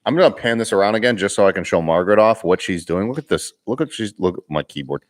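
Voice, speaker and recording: A man's voice: fairly low pitch, lively intonation, fast. A young man with a Boston accent chatting casually on a live stream, reading comments aloud, laughing often and restarting his sentences. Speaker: vlogger hosting a live Q&A.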